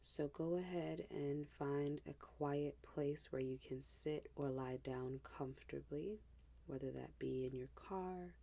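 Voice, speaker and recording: Slow, low-voiced woman guiding meditation. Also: gravelly. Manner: hushed, gentle, unhurried, with pauses. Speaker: meditation guide.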